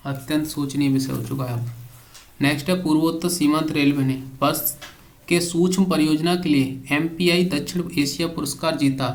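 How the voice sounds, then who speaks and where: A man teaches an exam class by reading out questions and answers. His voice sits a little high for a man. The delivery stays very even, with little melodic movement. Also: moderate pace.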